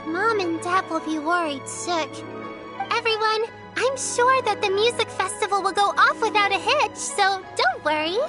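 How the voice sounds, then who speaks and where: A woman voicing a small, earnest, caring little girl in a video game, sweet and politely fussing. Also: very high-pitched. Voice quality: sweet, childlike. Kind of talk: video game character acting. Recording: music behind the voice.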